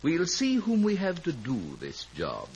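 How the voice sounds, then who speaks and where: An actor in a 1950s radio drama playing a stern, curt communist cell leader who gives orders with authority. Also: male voice, on the high side, huge pitch swings, measured pace, a little mellow, a little hiss or hum, heavily acted. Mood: commanding.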